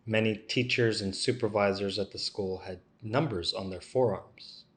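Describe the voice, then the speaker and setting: A man voicing interview answers for a signing guest, in a measured, unhurried delivery. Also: on the low side, a bit bright.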